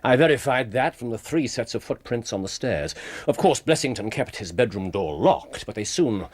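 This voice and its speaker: An actor playing a brilliant, brisk, self-assured English private detective in a radio drama, speaking incisively and precisely with authority. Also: male voice, mid-range voice, animated, slightly rough. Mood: methodical. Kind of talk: BBC radio drama acting.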